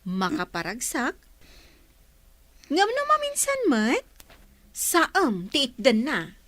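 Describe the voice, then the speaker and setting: A high, very animated female voice from an actress plays a teasing friend in Ilocano radio drama. She is nosy and playful, drawing out her words slowly, with an Ilocano accent. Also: no echo, exaggerated voice. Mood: teasing, curious.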